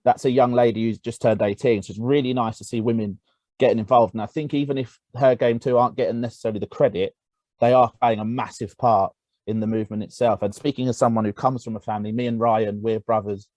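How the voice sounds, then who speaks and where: Man, podcast co-host, halting delivery, dark mellow tone, dry close sound. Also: medium pitch, steady tone, no room sound. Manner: halting, with many restarts. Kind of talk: casual podcast conversation.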